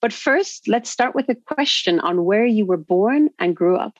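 A woman hosts a podcast and opens with an eager scripted introduction of her guest. She then moves on to welcoming, upbeat questions. Her pitch sits in a plain middle range. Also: lively intonation, normal speed, highs cut off. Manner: enthusiastic, welcoming. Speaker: podcast host.

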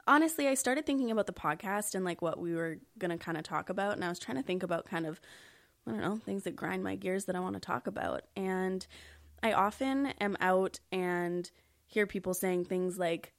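A woman's voice: medium pitch, fairly quick. A young woman with a stuffy, congested voice co-hosts a casual podcast. She talks candidly and laughs often. Her voice is recorded close and dry, with no room echo. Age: young adult. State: congested from a flu. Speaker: podcast co-host. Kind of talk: casual chat.

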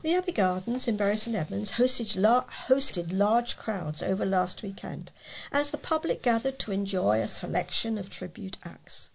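A British woman reading local news aloud as a volunteer reader, in a measured, plain way with a gravelly, husky voice. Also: medium pitch, animated, poor audio.

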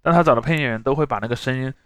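A man's voice: mid-range voice, racing, fairly bright tone, smooth, no echo. A man hosting a podcast, chatting casually and explaining things through examples. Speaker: podcast host.

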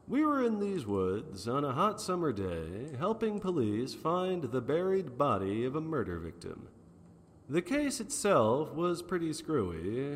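A wry 1940s noir detective, acted by a man, telling his case in a slow radio drama delivery. His pitch swings widely between wisecracks, with long reverb around the voice. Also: slight background noise. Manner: wry, deadpan.

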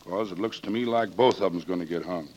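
Low-voiced, drawling rustic witness. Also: male voice, fairly quick, a little mellow, lo-fi sound. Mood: stolid reluctant. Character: plain rustic male witness, stolid, reluctant, taciturn. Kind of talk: 1940s radio acting. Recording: 1940s radio drama recording.

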